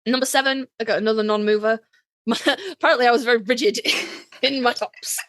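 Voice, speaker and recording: A woman co-hosting a podcast, chatting casually as a fan in an animated, emphatic, joking way with plenty of swearing. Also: a little high, a little fast, dry recording. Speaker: podcast co-host. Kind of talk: casual fan chat about a TV series.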